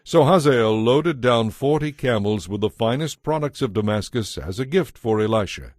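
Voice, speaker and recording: A man's voice: medium pitch, expressive, moderate pace, a little mellow, no echo, studio quality. A man reading scripted narration for an audio Bible.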